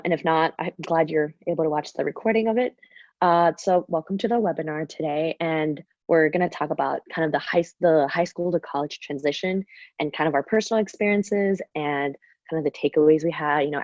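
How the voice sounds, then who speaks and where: A young woman from Shanghai hosts a student webinar over a video call, talking casually with lots of "kind of" and "um." Her voice sits very low. The sound is dry and muffled, like laptop call audio. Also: fairly quick, a little mellow, no room sound. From Shanghai, China. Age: young adult. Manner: chatty, many fillers and "kind of". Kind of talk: casual presentation.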